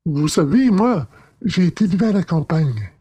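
A man voicing a cheerful, self-mocking young country-bred lawyer in an audiobook tale, with a husky voice. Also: high-pitched, very animated, normal speed, mellow, no echo, heavily acted. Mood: jovial storytelling. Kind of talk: audiobook character dialogue.

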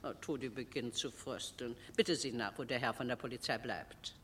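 An actress playing a cool, manipulative genteel sister in a radio drama, speaking with haughty, precise irony. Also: female voice, a bass voice, moderate pace, a bit bright, slight background noise. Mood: coaxing, menacing. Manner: haughty, coolly ironic, precise. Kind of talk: German radio drama acting.

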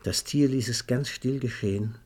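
Ein Mann erzählt in einem Hörspiel eine literarische Geschichte, ausdrucksvoll, genau und mit leiser Ironie. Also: male voice, mid-range voice, normal speed, a little mellow, close to an everyday voice. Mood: quiet, tender. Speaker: narrator. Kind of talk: scripted literary narration for a radio play.

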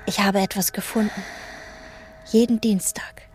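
An actress playing a withdrawn, obsessive hotel chambermaid in a radio play, speaking in calm, terse sentences. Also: female voice, mid-range voice, a little slow, a little hiss or hum, lightly acted. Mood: brooding. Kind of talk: radio play, inner monologue and dialogue.

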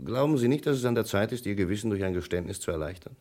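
Pushy methodical police inspector in a radio crime play, pitch jumps around, very precise. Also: male voice, animated, very fast, darkish tone. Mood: pressing, stern. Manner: precise, emphatic, probing. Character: insistent, methodical male police commissioner interrogating a suspect.

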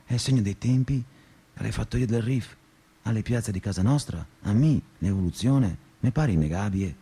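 A man's voice: on the low side, moderate pace, dark tone, slightly rough. An actor playing a sardonic, restless stoner man. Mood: sardonic, wry. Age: 30s. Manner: sarcastic, slangy, declamatory, swinging between mutter and outburst. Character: restless, sardonic 35-year-old provincial student pot-smoker telling his story. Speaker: stage actor, monologue performer. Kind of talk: theatrical radio monologue.

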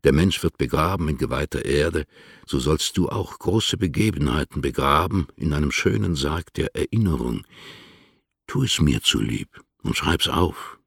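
A grave older poet in a radio drama. His extremely low voice crackles with gravel as he speaks in solemn, lyrical phrases, very close and dry. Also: expressive, moderate pace, no room sound, exaggerated voice. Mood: grave, counselling. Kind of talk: German radio play acting.

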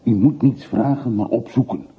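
A man's voice: medium pitch, normal speed, breathy edge. A man acting in a radio drama as a wistful, commanding man looking back on his hometown, reflective and formal. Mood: brisk, commanding. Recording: muffled phone-like old radio recording, faint noise.

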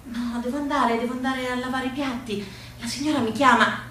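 A woman's voice: high-pitched, steady tone, a little fast, sharp tone, slight background noise, pitched above the performer's own voice. Woman playing a shy young housemaid in echoey room. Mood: shy, wistful. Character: shy dutiful young housemaid girl, wistful.

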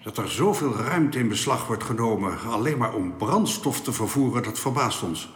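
An actor playing a formal, unbending alien councillor in a radio drama, speaking in measured, authoritative official pronouncements. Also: male voice, medium pitch, lively intonation, close to an everyday voice. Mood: formal, cool. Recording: echoey hall with long reverb.

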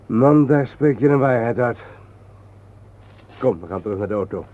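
An actor playing a calm, cryptic guide who speaks in a measured, enigmatic way in a radio play. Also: male voice, mid-range voice, close to an everyday voice. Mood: wry. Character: calm, cryptic male guide named Hein, explaining mysteries. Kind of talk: Dutch radio play acting. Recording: noisy, narrow-band old radio recording.

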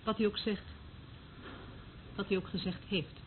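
An actress playing a bitter, mocking surveillance worker in a radio drama, with an intense, sardonic manner. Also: female voice, medium pitch, steady tone, measured pace, narrow, tinny audio, close to an everyday voice. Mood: brisk, cool. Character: bitter, mocking female eavesdropper instructing a newcomer. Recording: thin band-limited old radio recording, hiss.

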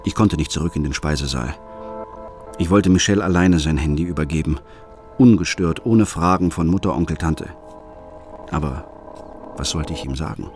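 An actor playing a troubled, suspicious middle-aged father who narrates a radio play in a brooding, measured way. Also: extremely low pitch. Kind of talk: scripted first-person radio play narration. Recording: music under the voice.

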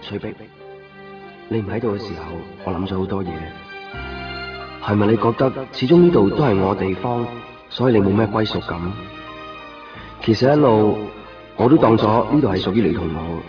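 A male actor plays a melancholy man pining for a lost woman, with a lowish voice and a Hong Kong Cantonese accent. He speaks wistfully, as in a nineties radio drama, a little distant from the mic with music underneath. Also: normal speed, long pauses, lo-fi sound, close to an everyday voice. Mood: wistful longing. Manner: wistful, introspective. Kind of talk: radio drama monologue and narration.

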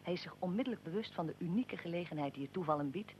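An actor playing a solemn, probing chronicler in a radio drama, narrating in measured, declarative sentences. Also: medium pitch, lively intonation, a little mellow, a little hiss or hum, close to an everyday voice. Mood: tense narration. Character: solemn, probing chronicler questioning historical figures.

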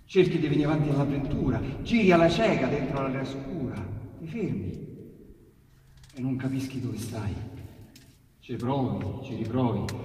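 A man with a Roman accent theatrically reciting dialect sonnets, swinging between hushed and loud passages. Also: medium pitch, a little hiss or hum. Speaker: poetry reciter. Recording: echoey hall, distant mic, piano.

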